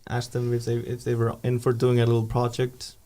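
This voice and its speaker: A man, the band's founder, talking in a relaxed, unhurried way in a radio studio. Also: medium pitch. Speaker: band founder guest. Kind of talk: casual interview chat.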